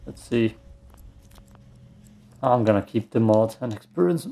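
A man giving casual, low-key gameplay commentary in muttered asides. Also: expressive, measured pace, creaky, warm tone. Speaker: gamer doing a let's play.

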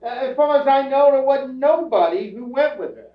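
A man playing a defensive, self-pitying, streetwise bum under questioning in a staged radio crime drama, protesting in halting, ungrammatical speech. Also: extremely high pitch, lively intonation. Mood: griping. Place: theatre stage before an audience. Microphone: far camera. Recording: roomy theatre stage from far off, muffled.

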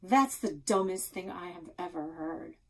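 Woman as storybook girl: young, bossy, emphatic, easily outraged, husky edge. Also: on the low side, expressive, a little slow, a bit bright, exaggerated voice. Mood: indignant. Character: opinionated young girl who loves fishing, easily outraged.

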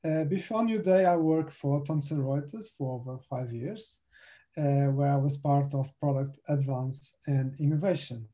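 A young man with a Portuguese accent introduces himself on a video call. His voice is very dark and mellow. He speaks briefly and plainly. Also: fairly high pitch, normal speed. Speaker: presenter, senior iOS specialist. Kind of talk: self-introduction in a webinar.

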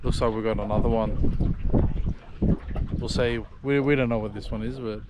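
A man's voice: medium pitch, steady tone, moderate pace. An Australian man in his thirties, narrating a fishing trip to an action camera on a small boat, chatty and upbeat. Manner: upbeat, chatty, exclaims at the catch. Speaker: fishing vlogger. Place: small boat on an open inlet, outdoors. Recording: outdoor recording with wind and water noise. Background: water, wind and boat noise.

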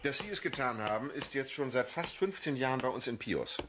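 An actor playing a cynical, menacing police official in a radio crime drama, taunting and deliberate. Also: male voice, mid-range voice, expressive, noisy background, lightly acted. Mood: sardonic.

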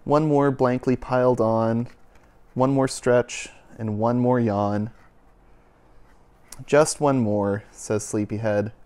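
A young man, a children and families minister, reading a picture book aloud in a gentle storybook cadence, seated on a living-room couch with a lapel mic. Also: medium pitch, steady tone, slow pace, long pauses, clear, resonant tone. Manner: gentle storybook cadence, softening toward the end.

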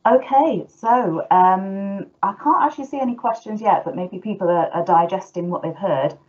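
A woman's voice: mid-range voice, normal speed, a little mellow. A middle-aged woman hosting an online talk as a former teacher, hesitant with many restarts, over a video call. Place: indoors at home. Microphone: laptop video call. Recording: muffled video call audio.

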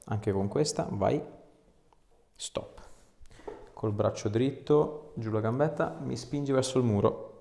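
A man's voice: mid-range voice, slow pace, creaky, long pauses, warm tone, slight background noise. A man, an osteopath chatting casually and giving short, reassuring instructions during an exam. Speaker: osteopath treating a patient. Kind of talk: casual clinical chat during a hands-on exam. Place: small indoor clinic room. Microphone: small mic clipped to scrub top. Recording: echoey small treatment room.

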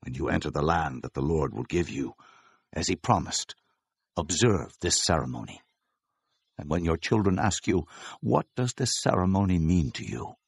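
A man playing a stern prophet and leader, declaiming urgent instructions with a gravelly, husky voice in a dramatized scripture recording. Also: extremely low pitch, lively intonation, normal speed, heavily acted. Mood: urgent, solemn. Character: Moses, stern male prophet and leader of his people.

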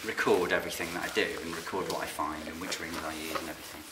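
Low-voiced man with a quiet manner. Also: very fast. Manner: calm, quiet, hands-on explaining with fillers. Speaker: licensed bird ringer. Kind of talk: explaining fieldwork while handling birds. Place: open farm barn on straw, outdoors air. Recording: noisy outdoor camera recording.

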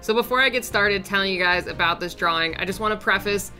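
A young woman with a sore, raspy throat chatting in a casual voice-over as an art channel host, upbeat and rambling with small fillers. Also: medium pitch, quick pace, bright, forceful tone, faint music behind. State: sore throat, raspy and deeper than usual. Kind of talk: casual voice-over narration of a drawing timelapse.

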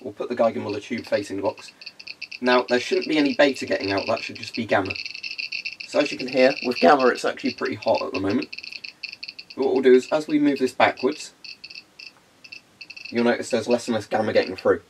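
A man's voice: mid-range voice, brisk, pauses often, slight background noise, poor audio. A young man with a congested, phlegmy voice, casually demonstrating equipment in a carpeted room and rambling with frequent ums. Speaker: hobbyist video host.

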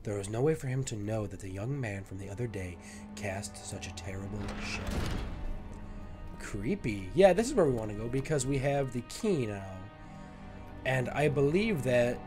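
A young man with a cold talks through a stuffed, nasal voice as he plays, chatting and mocking himself. His pitch swings lively up and down. He is on a headset mic in a small home room, with faint music behind. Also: normal speed. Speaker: gaming video host. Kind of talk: let's play commentary.

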